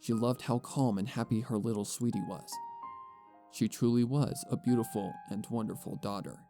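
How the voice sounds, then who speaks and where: A man's voice: fairly low pitch, normal speed, dark tone. A man giving scripted storybook narration as the narrator of a fan fiction audio reading. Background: music.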